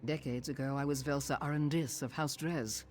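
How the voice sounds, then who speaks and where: An actor playing a proud, sardonic exiled noble turned veteran thief in a video game, speaking in a dry, formal, haughty manner. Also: male voice, medium pitch, fairly even intonation, moderate pace, faint music behind, lightly acted. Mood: proud, bitter.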